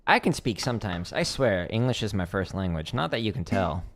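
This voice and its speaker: A man's voice: on the low side, sing-song, a little fast, background noise. A man casually chatting with his livestream viewers between drum practice runs, loose and full of "like" fillers, counting tempos aloud. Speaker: drum teacher streaming practice. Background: drumming on a practice pad.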